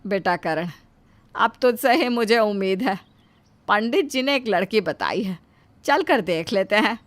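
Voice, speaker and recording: A woman's voice: medium pitch, lively intonation, a little slow, dry recording, natural voice. Woman acting an emphatic older Indian-story mother-in-law. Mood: expectant. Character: stern, grumbling older mother-in-law, sometimes doting. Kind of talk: animated moral storybook acting.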